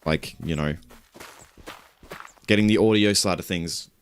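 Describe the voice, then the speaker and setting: A young man streaming and chatting casually. He rambles in a relaxed way, with little chuckles and self-corrections. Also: measured pace, slight background noise. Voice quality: low voice. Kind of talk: casual stream chat while gaming.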